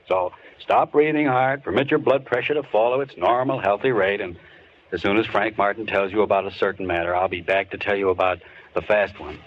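Measured old-time radio host, man. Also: lowish voice. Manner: earnest, measured, slightly dramatic. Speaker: radio drama host and writer. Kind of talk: 1930s radio show host. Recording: dull old radio broadcast with hiss.

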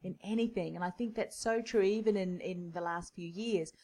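A woman's voice: medium pitch, normal speed, warm tone. A woman hosting a faith podcast, affirming her guest and speaking in long, flowing, encouraging thoughts. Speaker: podcast host. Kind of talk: interview conversation.

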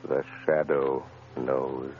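Invisible avenger: bottomless, slow, solemn voice, filtered thin for a radio thriller. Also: male voice, rumbling low, flat delivery, narrow, tinny audio, a little hiss or hum. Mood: grave, urgent. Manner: slow, solemn, ominous intoning. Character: mysterious, commanding invisible male crime-fighter. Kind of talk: 1930s radio acting. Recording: thin filtered old radio, faint music.

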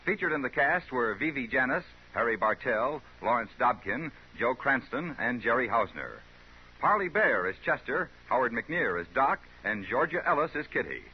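A man's voice: medium pitch, very animated, normal speed, breathy edge. Formal 1950s radio announcer man, slightly muffled.

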